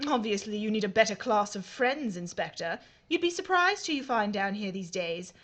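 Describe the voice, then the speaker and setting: A woman's voice: medium pitch, animated, moderate pace, a bit bright. An actress playing a haughty, fearless aristocratic lady reporter in a period audio drama, with a British accent and a wry, formally articulate manner.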